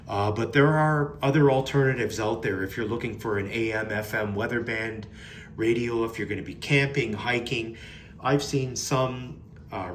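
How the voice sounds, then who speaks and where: A man's voice: on the low side, slight background noise. A man giving a casual, unscripted product review in a plain-spoken, mildly critical way. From Canada.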